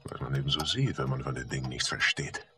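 A man's voice: extremely low pitch, fast talker, pushed and bright. A man acting in a radio play as a cold, condescending officer, curt and sardonic with an air of authority. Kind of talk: German radio drama acting.

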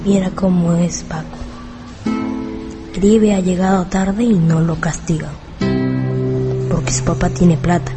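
A woman's voice: lowish voice, fairly even intonation, unhurried, pauses often, over music, lightly acted. A woman playing a brave, protective young schoolboy in a radio drama, frank and a little bossy. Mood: indignant. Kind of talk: Spanish-language radio drama acting.

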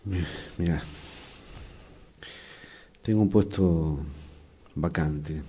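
Actor haciendo de jefe rencoroso, grave y lento. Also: male voice, sits low, warm tone, slight background noise. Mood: scornful. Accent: Rioplatense. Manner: sardonic, deliberate, condescending. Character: smug vindictive male boss, former errand boy. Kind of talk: radio drama acting.

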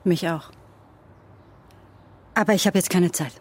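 Husky, direct young woman. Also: fairly low pitch, a little slow, darkish tone. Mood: cool, guarded. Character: guarded, caring young woman sheltering a runaway. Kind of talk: German radio drama acting.

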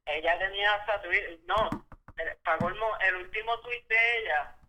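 A young man, telling stories in animated bursts and cursing freely. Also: high-pitched, moderate pace, dull audio, slight background noise. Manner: animated storyteller, curses freely. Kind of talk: casual chat.

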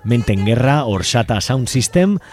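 A man's voice: medium pitch, expressive, a little slow, a little mellow. A man hosting a radio show in an informal, chatty, colloquial way. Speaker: radio host. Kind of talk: casual radio presenting. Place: radio studio.

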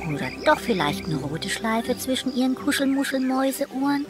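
A woman's voice: sits high, sing-song, normal speed, music in the background. A woman voicing a clumsy, proud, lovesick young boy mouse who mutters to himself in a children's audio play. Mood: wistful, puzzled.

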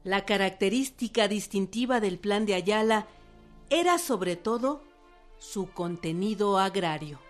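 A woman reads narration for a radio documentary drama. Her voice sits at a middle pitch, over soft background music. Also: expressive, measured pace.

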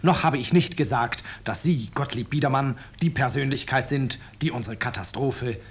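An actor playing an urbane, ironic author who narrates his own story in a 1950s German radio drama, courteous and deliberate. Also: mid-range voice, a little hiss or hum, lightly acted. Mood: politely needling.

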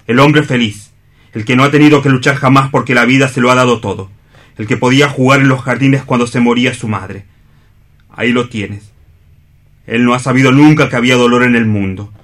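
A man's voice: mid-range voice, fairly bright tone, natural voice. An actor as an anguished, bitterly noble man. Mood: bitter challenge. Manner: declamatory, solemn, deliberate phrasing. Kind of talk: theatrical radio play reading.

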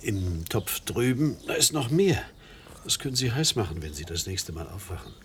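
An actor playing a kindly, pious American neighbour who fusses chummily over a sick friend in a radio drama, with a gravelly voice. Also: male voice, lowish voice, animated, normal speed, slight background noise, lightly acted. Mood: soothing, caring. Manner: solicitous, chummy.

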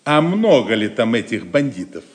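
A man's voice: medium pitch, very animated, moderate pace, close to an everyday voice. An actor playing a domineering Norman castle lord in an audio drama, commanding and threatening in his delivery. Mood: probing. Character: domineering, menacing Norman baron, master of the castle. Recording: long hall reverb, faint music underneath.

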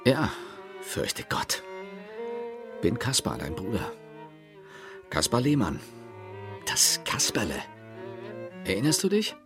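A solemn, proud brother come back estranged. His middle-pitched voice moves widely and insistently over bowed strings, in radio-play style. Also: wildly swooping pitch, takes it slowly, long pauses. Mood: solemn, confronting. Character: grave, proud estranged brother seeking reconciliation. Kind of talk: German radio drama. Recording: bowed string music behind voice.

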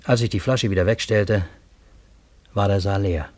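Man as low-voiced, measured radio play narrator. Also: a little mellow, no room sound, natural voice. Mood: wondering, ironic. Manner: measured, literary. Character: bewildered, ironic male storyteller recounting fantastic events. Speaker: first-person narrator.

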